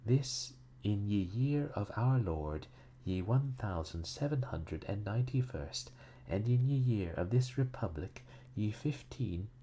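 A man voicing a brusque, excitable theatrical magnate in a storybook, terse and halting over old writing. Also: on the low side, a little hiss or hum, pitched above the performer's own voice. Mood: slow, halting reading.

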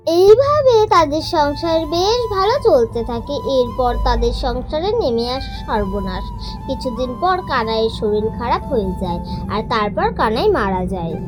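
A woman's voice: sits high, sing-song, normal speed, music in the background, low-quality recording. A woman narrating a scripted cartoon folk story as its storyteller.

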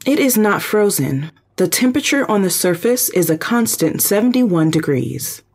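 A performer voicing a calm, precise spaceship computer in an audio drama, speaking formally and literally. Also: medium pitch, normal speed, slightly rough. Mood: analytical, calm. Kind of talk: audio drama, analytical reporting.

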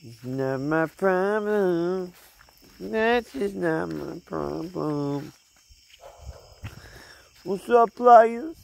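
Homesteader, laid-back and slangy, unhurried delivery, phone-recorded outside at night. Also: male voice, sits high, expressive, vocal fry. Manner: laid-back, talks to his chickens in slang. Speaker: homesteader vlogger. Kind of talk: casual talk to chickens while filming. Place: backyard homestead outdoors at night. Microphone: handheld phone camera. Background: crickets and night insects.

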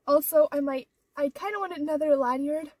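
Teen girl vlogger, very high voice, stuffed-up nose, rambling with fillers, heard from a distance. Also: a little fast, a little mellow. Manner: rambling, many "like" and "or whatever" fillers. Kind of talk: casual vlog chat. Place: inside her car.

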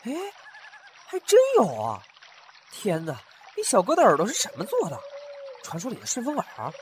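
A man's voice: sits high, huge pitch swings, moderate pace, noisy background. A man playing a talkative, curious young antique-shop owner who often muses aloud to himself. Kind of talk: fan audio drama acting.

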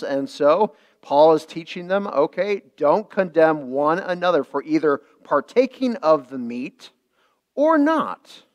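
A male pastor preaching a midweek sermon, earnest, repeating phrases and restarting lines. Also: a little high.

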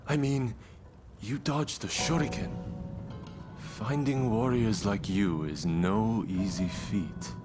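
A proud, ambitious shogun in a video game. His voice is low and his delivery very unhurried, formal and stately with a touch of amusement. Loud music plays under him. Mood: proud, resolute. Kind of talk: scripted video game cutscene acting.

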